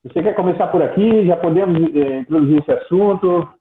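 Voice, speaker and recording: Sex: man. Role: host. Pitch: slightly high. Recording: tinny phone-like line, roomy echo. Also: fairly quick. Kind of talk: interview hosting.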